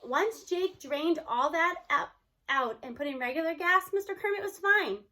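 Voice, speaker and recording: A woman voicing a kindly, reassuring female teacher in a children's storybook, earnest and gently firm. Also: very high-pitched, expressive, moderate pace, heavily acted. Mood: consoling.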